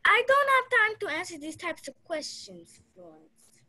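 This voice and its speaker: A woman's voice: extremely high pitch, sing-song, a little slow, bright, forceful tone, slight background noise, exaggerated voice. A young woman acting a selfish, dramatic, haughty rich Russian lady in a radio play. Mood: imperious.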